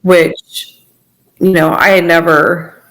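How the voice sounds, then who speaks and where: A woman speaking as a podcast guest, giving a reflective, halting personal testimony in a conversational way. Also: fairly low pitch, level tone, fairly bright tone, poor audio.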